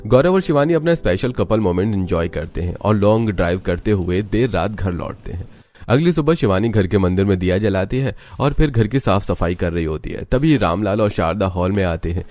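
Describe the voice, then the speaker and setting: A man's voice: lowish voice, lively intonation, brisk, darkish tone. A man narrating a scripted cartoon story.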